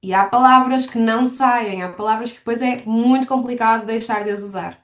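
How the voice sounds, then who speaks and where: A woman's voice: fairly high pitch, steady tone, a little fast. A woman with a European Portuguese accent giving conversational interview answers as a language teacher, explaining things and acting out quotes. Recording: roomy, echoey sound.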